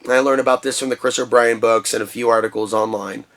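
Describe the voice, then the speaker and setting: A man with a booming voice talking through a personal vlog monologue in an impassioned, ranting way from his shed studio. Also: steady tone, fast talker, a bit bright. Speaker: vlogger.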